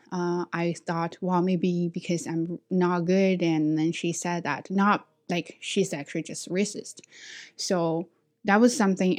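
A woman's voice: fairly low pitch, darkish tone. Woman with a Chinese accent telling stories. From China. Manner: frequent restarts and filler words, talking through experiences. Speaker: English teacher. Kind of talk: casual personal storytelling.

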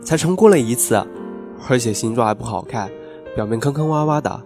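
A man's voice: mid-range voice, brisk, darkish tone, faint music behind, natural voice. Man acting an eager, coy young lovestruck guy. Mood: discouraged, bashful. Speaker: voice actor in an amateur radio drama. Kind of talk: Chinese audio drama acting.

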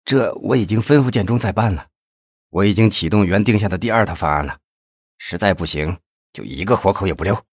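A man's voice: on the low side, lively intonation, brisk, husky, no room sound, studio quality. Narrator as a twitchy, ruthless schemer pleading with his uncle, storybook drama. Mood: nervous scheming. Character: scheming younger man, nervous and ruthless.

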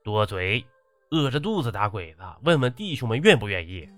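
A man's voice: lowish voice, very animated, moderate pace, a bit bright, dry recording. A man voicing a short-tempered, lecherous battalion commander in an audiobook, swearing and barking his orders. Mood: gruff, commanding. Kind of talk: audiobook character acting.

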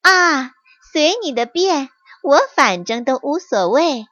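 A woman voicing a sly scheming fox, high and sing-song, coaxing, dull dry recording. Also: sing-song, takes it slowly, pushed and bright, no echo, exaggerated voice. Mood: falsely casual. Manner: coaxing, sly, falsely casual. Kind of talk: children's story reading.

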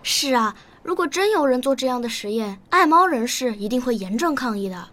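A woman acting a bright, eager, bookish nine-year-old girl in a radio drama. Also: sits high, animated, a little fast. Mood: earnest.

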